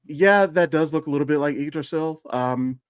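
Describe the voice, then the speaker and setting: A man's voice: on the high side, moderate pace, clear, resonant tone, dry recording, studio quality. A man co-hosting a podcast in casual fan discussion, speaking informally with some swearing. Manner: informal, profane. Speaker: podcast co-host.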